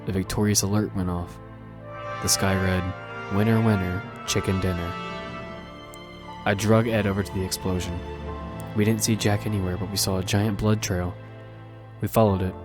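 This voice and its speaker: A man narrating a first-person action drama as its protagonist, in an earnest storytelling manner. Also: lowish voice.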